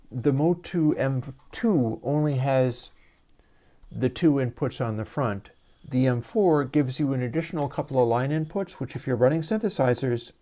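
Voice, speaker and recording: A man hosting a casual livestream and explaining his audio gear step by step in a soft, soothing voice, talking into a lavalier mic. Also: mid-range voice. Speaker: livestream host and audio developer.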